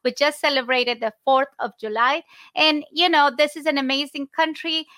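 High bright spanish-accented lady hosting a chat show, effusive and grateful, dry close sound. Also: fairly even intonation, moderate pace, no echo. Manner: warm, effusive and grateful, with fillers. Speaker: podcast host. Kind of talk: casual interview chat.

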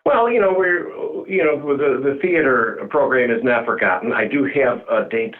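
A man's voice: medium pitch, normal speed. A middle-aged man chatting casually as an interview guest, explaining things in a rambling, conversational way. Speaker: community theater director, interview guest. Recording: muffled narrowband phone-like audio.

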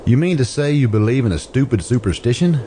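A man playing an incredulous, blustering questioner in an old-time radio drama, stammering and forceful. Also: mid-range voice, animated, fast, lightly acted. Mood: incredulous, alarmed. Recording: clearly noisy, background ambience.

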